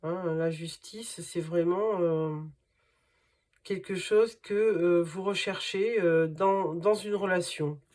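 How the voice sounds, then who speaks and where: A woman's voice: on the low side, slow, darkish tone. A woman with a cold and a nasal voice giving a tarot reading to her viewers, hesitant and full of fillers. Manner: hesitant, many fillers and restarts. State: has a cold. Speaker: tarot card reader.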